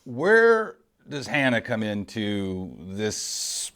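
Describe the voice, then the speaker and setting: A man's voice: fairly low pitch, big pitch swings, drawn-out words, a bit bright, clean tone. A man in his early forties hosting a casual interview, rambling and correcting himself, laughing easily. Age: 40s. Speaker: host.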